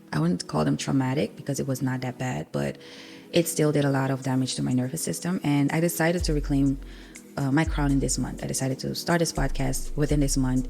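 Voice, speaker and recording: A woman's voice: low voice, gravelly, mellow. A young woman with a Surinamese accent, whose first language is Sranan Tongo, hosting a personal spiritual podcast in an earnest, confessional way, sniffly with a cold. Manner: earnest, raw and confessional, with many ums. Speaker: podcast host.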